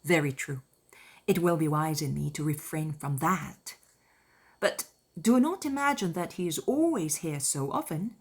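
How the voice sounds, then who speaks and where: A woman voicing a spirited young woman in an audiobook drama, with an animated, emphatic manner, playful yet sincere. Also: sits low, unhurried, breathy edge, heavily acted. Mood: agreeable, sincere. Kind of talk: dramatized audiobook character dialogue.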